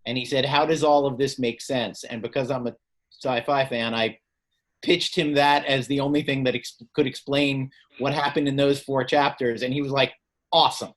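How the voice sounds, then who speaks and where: A man's voice: mid-range voice, fairly even intonation, normal speed, a bit bright. Man chatting casually on a video call. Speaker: guest reader at a literary event. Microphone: video call microphone.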